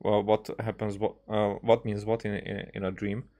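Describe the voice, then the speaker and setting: A man giving casual, unscripted game commentary in a halting, rambling way, circling back over his words. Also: lowish voice, completely flat delivery, warm tone. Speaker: gaming streamer playing and commenting on a game.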